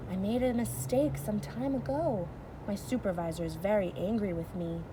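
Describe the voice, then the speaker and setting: A woman's voice: medium pitch, normal speed, background noise, natural voice. A woman voicing an upset, apologetic, serious male postman in a read-aloud story.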